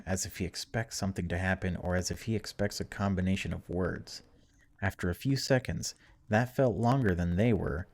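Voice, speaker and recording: A man's voice: sits low, darkish tone. A man hosting a gaming video, reading story text aloud dramatically and breaking off for casual, wry asides.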